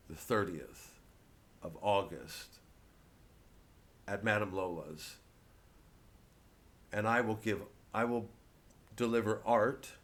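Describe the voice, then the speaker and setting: A male comedian delivering a rambling, halting, sweary satirical monologue full of digressions. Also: on the low side. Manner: acts out mock quotes and voices.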